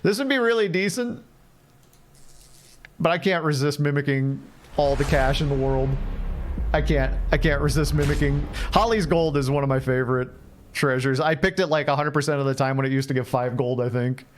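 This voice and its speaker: A man's voice: on the high side, moderate pace. A man giving casual, playful live commentary on a game, thinking aloud and repeating phrases as he chats with viewers. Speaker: game livestreamer.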